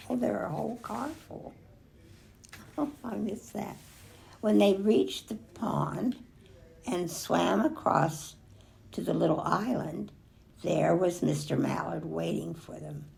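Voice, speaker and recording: An older woman with a heavily creaky voice reads a picture book to children. She goes slowly, with lively rises and falls, and stops now and then to remark on the pictures. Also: fairly low pitch. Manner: expressive, pausing to remark on the pictures. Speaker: storybook reader.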